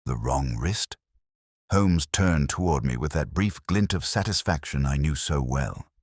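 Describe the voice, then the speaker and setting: A man reading a dramatic mystery story in a solemn, measured, literary storytelling manner. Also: extremely low pitch, lively intonation, no room sound, studio quality. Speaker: audiobook narrator. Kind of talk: scripted dramatic mystery narration.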